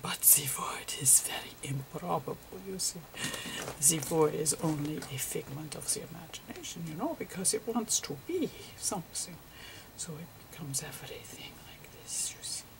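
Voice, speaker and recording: A woman voicing Einstein as a gentle, eccentric old physicist in a whispery, halting ASMR lecture with repetitive professorial asides. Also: sits low, animated, poor audio. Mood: hushed, explanatory.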